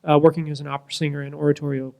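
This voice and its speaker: A man's voice: fairly high pitch, little pitch movement, very fast, creaky, a little mellow. A man from Kentucky, an ensemble singer who worked as an opera soloist, introducing himself in a casual, chatty way. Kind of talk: self-introduction.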